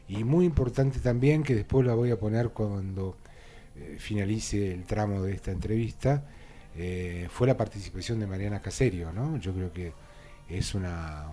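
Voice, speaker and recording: A man's voice: fairly low pitch, mellow. An older man from Buenos Aires with an Argentine accent, hosting a local radio interview in a chatty, anecdotal way with frequent fillers. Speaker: radio host.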